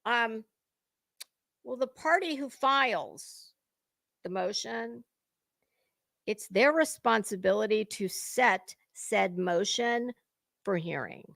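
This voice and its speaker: High, bright, slow-talking sarcastic woman. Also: vocal fry, dry recording. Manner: sarcastic, scornful, blunt and sweary. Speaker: host of a gossip commentary show. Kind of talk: dramatic reading with sarcastic live commentary.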